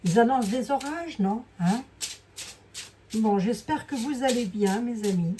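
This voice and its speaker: A woman with a hoarse voice giving a casual, rambling card reading, full of fillers and asides to her viewers. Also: fairly high pitch, expressive, measured pace, dark tone. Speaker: clairvoyant doing a card reading. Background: fan noise.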